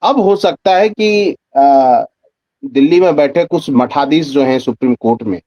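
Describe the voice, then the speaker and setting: Male guest commentator, slightly high voice, pointed explanatory talk, dull low-fidelity sound. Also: unhurried, warm tone, no room sound. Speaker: senior journalist and political analyst, guest. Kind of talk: conversational political commentary.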